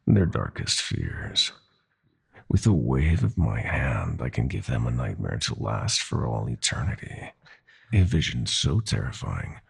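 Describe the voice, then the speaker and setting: A profoundly deep, husky villain god of nightmares for an audio roleplay. He gloats in slow, silky, mocking threats, his pitch sweeping widely over a gravelly bottom. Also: full character voice. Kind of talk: roleplay audio drama acting.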